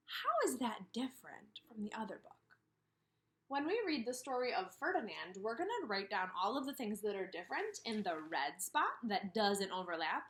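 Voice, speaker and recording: A woman in her thirties teaching and reading aloud to kindergarteners, expressive, with questions and emphatic pauses. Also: a little high. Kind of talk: instructional read-aloud for young children. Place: indoors, room with a brick wall.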